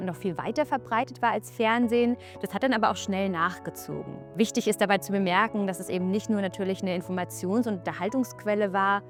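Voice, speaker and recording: A woman explaining objects in a museum collection in an informal, explanatory way, stressing key words as she goes. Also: mid-range voice.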